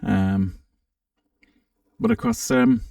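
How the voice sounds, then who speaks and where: A man giving a casual, unscripted software walkthrough, thinking aloud with some hesitation as he presents. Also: low voice, wildly swooping pitch, drawn-out words, creaky, clean studio sound. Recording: roomy, slightly distant microphone.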